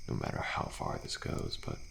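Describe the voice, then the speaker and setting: A man playing a weary, thrill-seeking survivor in an intimate ASMR audio roleplay, talking in a slow, laid-back drawl with pauses and sighs. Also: fairly bright tone, slight background noise, close to an everyday voice. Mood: wry, fatalistic. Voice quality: deep, gravelly. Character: weary, thrill-seeking male survivor of endless killer trials. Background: campfire ambience with crickets.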